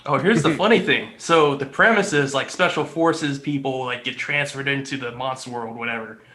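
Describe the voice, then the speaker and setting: A man's voice: medium pitch, moderate pace, pushed and bright. A man in a casual panel chat, telling stories with dry sarcasm. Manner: sarcastic, trivia-heavy storytelling.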